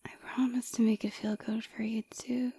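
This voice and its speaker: A woman playing a flirty, forward villain and rival warrior in an ASMR roleplay, teasing and coy with a breathy voice, close to the microphone. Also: fairly high pitch, flat delivery, normal speed, noisy background, lightly acted. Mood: seductive, breathy. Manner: teasing, coy, seductive, often dropping to breathy whispers.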